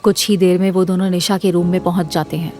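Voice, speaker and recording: A woman's voice: lowish voice, little pitch movement, fast talker, darkish tone, faint music behind. A woman reading scripted narration for an audio drama series.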